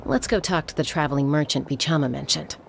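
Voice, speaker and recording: A woman voicing a calm, self-assured female companion in a video game, composed and reassuring. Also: deep voice, quick pace, vocal fry, noisy background.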